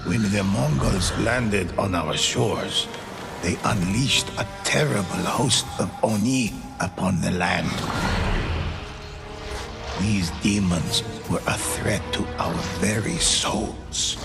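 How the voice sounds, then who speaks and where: An actor playing a solemn old storyteller in a video game, narrating samurai legends gravely and theatrically with a gravelly voice. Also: male voice, lowish voice, slow pace. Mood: grave, ominous. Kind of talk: dramatic scripted narration. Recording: loud music under the voice. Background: loud cinematic game music.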